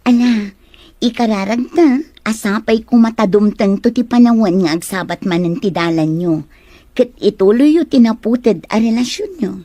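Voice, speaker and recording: A woman's voice: fairly high pitch, moderate pace, warm tone, no echo, lightly acted. A woman playing a caring, supportive young best friend in a melodrama, speaking in a soothing, encouraging way. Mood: comforting. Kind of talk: Ilocano melodrama acting.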